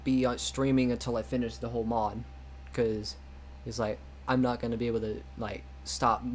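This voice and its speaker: A young man streaming a game, chatting casually and rambling with many restarts, quick to laugh. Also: mid-range voice, little pitch movement, slight background noise. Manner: laughs easily. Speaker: streamer playing a game mod.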